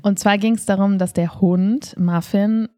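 A woman co-hosts a conversational podcast with a mid-pitched voice. She tells stories in an animated, casual way and acts out what people said as she goes. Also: a little slow. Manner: animated storyteller, acts out quoted dialogue. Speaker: co-host of a theatre podcast.

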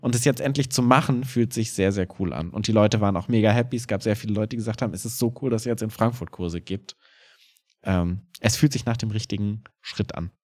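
A man's voice: fairly low pitch, a little fast, a little mellow. A man co-hosting a podcast in casual conversation, an improv teacher with a playful, joking way of talking. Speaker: podcast co-host.

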